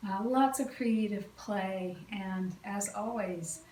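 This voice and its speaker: A woman's voice: mid-range voice, takes it slowly, dark tone. A woman with a slightly stuffy, cold-affected voice, chatting informally and enthusiastically as a craft podcast host. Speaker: podcast host, quilting teacher. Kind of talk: casual solo chat, craft update. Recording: roomy echo, distant mic, faint noise.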